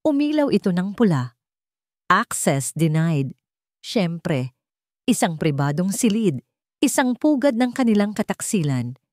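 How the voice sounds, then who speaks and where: A woman's voice: medium pitch, sing-song, measured pace, pauses often, darkish tone, dry recording, clean studio sound. A woman reading scripted dramatic narration of a romance story.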